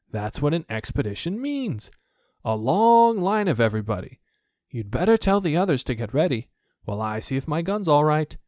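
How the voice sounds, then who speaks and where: A man's voice: fairly high pitch, darkish tone, dry recording, studio quality, pitched above the performer's own voice. A man voicing a confident young boy in a storybook, explaining things with careless, breezy authority. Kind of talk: storybook character voice.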